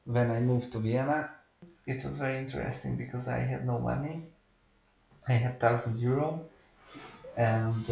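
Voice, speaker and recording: Man, hesitant personal account, lively pitch, slow delivery. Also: gravelly. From Hungary. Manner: hesitant, many pauses and restarts. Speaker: artist interviewee. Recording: roomy, dull-sounding recording.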